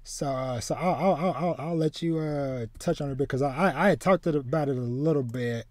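A man in his thirties chats casually as a podcast host. He talks in halting runs, doubling back on words, and the sound is dry and close, with little room in it. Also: fairly high pitch, a little mellow, no room sound. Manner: halting, repeats words as he goes. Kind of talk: casual chat about anime. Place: small room with bookshelves, indoors, on a video call.

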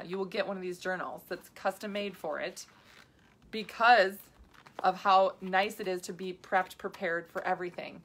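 A woman's voice: medium pitch, fairly bright tone. A woman in her thirties, a health coach, chatting casually to viewers on a live video with many asides and restarts.

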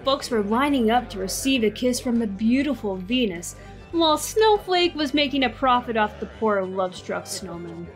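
A woman narrates a fairy-tale audio drama in a calm, even way. Her voice sits a little high, with faint music underneath. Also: moderate pace. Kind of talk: storybook narration.

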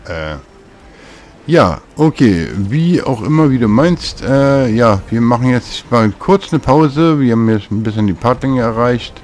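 A man's voice: medium pitch, expressive, measured pace, a little mellow, a little hiss or hum. A man casually commenting on a video game as he plays, muttering to himself, with game music behind him. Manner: chatty, mutters to himself while playing. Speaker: let's play host.

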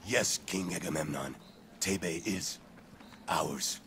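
An actor playing a fierce, loyal Greek warrior prince, huge and burly, in a video game cutscene. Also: male voice, deep voice, takes it slowly, bright, forceful tone, a little hiss or hum, exaggerated voice. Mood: solemn, obedient.

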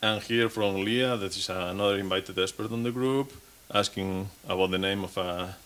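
Man, 30s, lowish voice, speaking from a podium at a conference, restarting and repeating words as he goes. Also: fairly even intonation, moderate pace, fairly bright tone, smooth. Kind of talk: technical conference talk. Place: conference stage.

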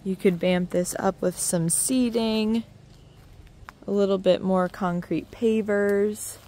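A woman's voice: medium pitch, steady tone, slow, dark tone. A woman real estate agent giving an upbeat, chatty walking tour of a house, filming it herself on a phone. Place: inside and around a house, voice-over while filming.

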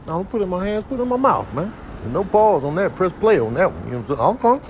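A man's voice: high voice, sing-song, fast talker, a little hiss or hum. A man voicing a pushy, sassy, hungry marmot in a slangy, demanding comic animal voice-over. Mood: eager.

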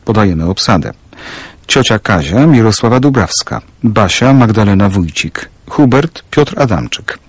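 A man's voice: on the low side, animated, normal speed, no echo. A man reading formal scripted credits as a radio announcer.

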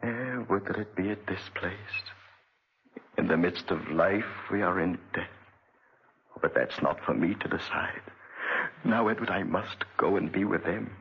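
An actor playing a bitter, vengeful man in a theatrical 1940s radio drama style, with a husky voice. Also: low voice, a little slow, a little hiss or hum. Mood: weary, sorrowful. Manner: theatrical, gloating then brooding. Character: bitter, vengeful man consumed by revenge and conscience. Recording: dull, muffled 1940s radio recording.

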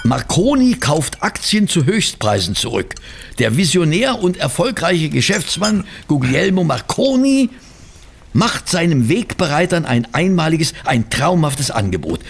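A man playing a chatty, good-natured Victorian gentleman who fusses and mutters, in a German audio drama. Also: fairly high pitch, big pitch swings, unhurried, vocal fry, slight background noise, full character voice. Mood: musing, eager. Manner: fussy, muttering to himself while reading.

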